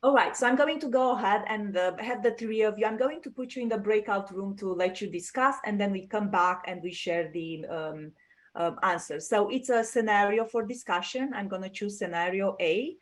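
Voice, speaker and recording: A woman's voice: mid-range voice, normal speed. A woman from Romania teaching an online class over a video call, explaining patiently and checking that her students follow.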